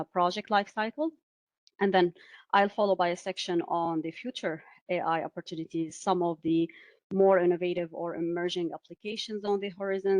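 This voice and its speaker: A woman in her forties, from Syria, presenting a webinar in a measured way over a video call, with frequent "uh" and "um" fillers. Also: on the low side, a little mellow, no echo. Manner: measured, many "uh" and "um" fillers, asks for next slide.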